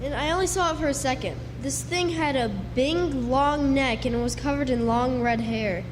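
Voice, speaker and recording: An earnest, insistent boy of the Old West as a woman acts him out, high in pitch and emphatic in his storytelling. It is live radio theatre, heard in a ringing hall with clear background noise. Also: normal speed, natural voice. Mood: earnest, uneasy. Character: earnest, bookish frontier boy, insistent and excitable. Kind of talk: live Western radio drama acting. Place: live stage performance indoors. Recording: noisy live stage, reverberant hall.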